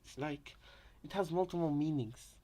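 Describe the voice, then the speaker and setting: A man casually commenting on game voice lines as a co-host, rambling and circling back over his words in a halting way. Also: a little high, dark tone. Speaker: reaction video co-host.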